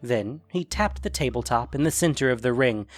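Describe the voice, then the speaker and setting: A man's voice: mid-range voice, expressive, moderate pace, no room sound, faint music behind. A man reading scripted fantasy fiction as a dramatic narrator.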